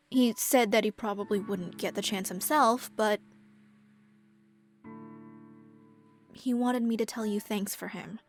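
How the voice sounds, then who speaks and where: A woman playing a gentle, resigned widowed mother and caregiver in a game dub, speaking in a subdued, reflective way. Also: a little high, close to an everyday voice. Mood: grateful.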